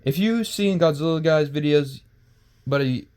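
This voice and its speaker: A man giving casual, unscripted commentary as a video creator, rambling and halting, often stumbling over words and starting again. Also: a little high, expressive, darkish tone, smooth.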